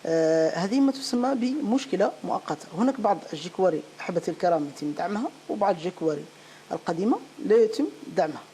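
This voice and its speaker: A woman giving an unscripted step-by-step software tutorial, halting and repeating phrases as she searches for words. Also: lowish voice, lively intonation. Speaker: programming tutorial instructor. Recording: clearly noisy home-made recording.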